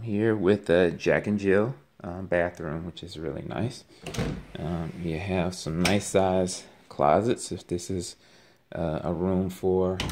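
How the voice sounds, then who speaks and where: A man gives a casual walking tour of an empty house as a realtor, talking haltingly with many ums and uhs, filmed on a handheld phone. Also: sits low, a little mellow, slight background noise. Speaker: realtor giving a home tour. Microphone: handheld phone camera. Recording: echoey empty rooms.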